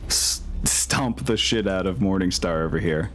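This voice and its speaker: A man with a low voice acts as game master for a tabletop session. He talks informally, swears freely and works through the rules aloud, with music playing behind him. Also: normal speed, a bit bright. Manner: informal, profane, thinking aloud. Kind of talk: narrating and running a tabletop game.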